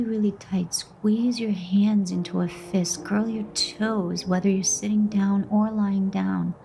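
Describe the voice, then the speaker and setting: A woman guides a meditation at a slow pace, with a mellow, relaxed tone and a middle pitch. She speaks gently in short, soothing phrases with pauses between them. Soft music plays behind her. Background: background music.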